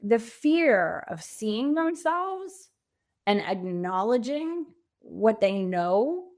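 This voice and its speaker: A woman's voice: a little high, big pitch swings, very slow, a little mellow. A woman speaking as a coach and guest in a conversational podcast interview, reflective and emphatic in her phrasing.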